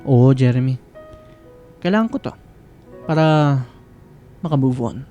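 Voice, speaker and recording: Male actor as lovelorn young man, Filipino accent, hesitant, soft-spoken, dark mellow tone, radio drama, faint music behind. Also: mid-range voice, unhurried, natural voice. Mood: earnest, resigned.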